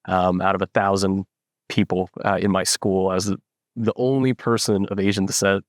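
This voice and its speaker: A young man from the Philippines, a startup founder, telling his story in a relaxed interview with lots of fillers and restarts. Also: deep voice, moderate pace, no room sound, clean studio sound. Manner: reflective, many fillers and restarts. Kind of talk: casual interview.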